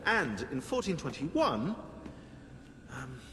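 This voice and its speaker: An actor with a British accent playing a sarcastic, grumbling scholarly historian in a video game cutscene, wordy and theatrically exasperated. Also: male voice, mid-range voice, sing-song, takes it slowly, sharp tone, a little hiss or hum, exaggerated voice. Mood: pedantic. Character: sarcastic, grumbling scholarly male historian in a modern assassin team. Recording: long hall reverb, music behind.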